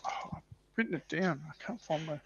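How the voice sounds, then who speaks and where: A man chatting casually as a livestream panelist, explaining things and often clearing his throat. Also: on the high side, a little fast. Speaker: livestream panelist on tabletop wargaming. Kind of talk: casual discussion, explaining slides. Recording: dull lo-fi roomy livestream audio.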